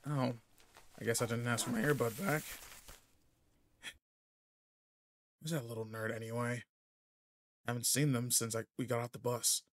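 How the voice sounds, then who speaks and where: A man's voice: mid-range voice, fairly even intonation, brisk, close to an everyday voice. A man playing a cocky, irritable teenage delinquent in a roleplay audio drama, talking casually and teasingly straight to the listener. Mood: muttering, bored.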